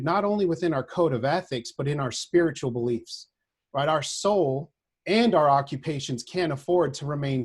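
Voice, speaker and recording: Husky-voiced man giving an earnest lecture. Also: fairly high pitch, a little mellow. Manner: earnest, deliberate, often adds "right?" as a check. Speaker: social work professor. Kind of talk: prepared lecture to an audience.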